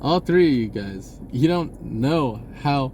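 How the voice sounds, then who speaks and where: A man talking casually in a rambling monologue, halting and pausing mid-sentence as he goes. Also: mid-range voice, animated, darkish tone, a little hiss or hum. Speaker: vlogger.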